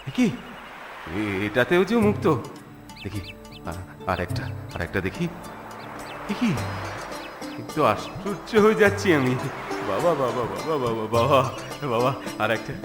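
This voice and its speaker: A man voicing a stingy, excitable village master in a cartoon story, grumbling and repeating his words. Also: medium pitch, measured pace, long pauses, music in the background. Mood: amazed. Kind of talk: Bengali cartoon story acting.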